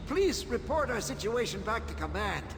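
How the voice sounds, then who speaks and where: An actor playing a beleaguered, earnest soldier guard in a video game cutscene, urgent, in a reverberant hall. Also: male voice, sits high, sing-song, normal speed. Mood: relieved, urgent. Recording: reverberant hall, music behind.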